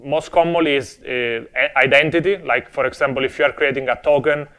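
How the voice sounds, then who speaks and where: A man's voice: medium pitch, fairly quick, fairly bright tone. Italian-accented man giving a tech talk. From Italy. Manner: friendly, explanatory, lightly humorous. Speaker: conference speaker. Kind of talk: technical conference talk. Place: conference stage before an audience.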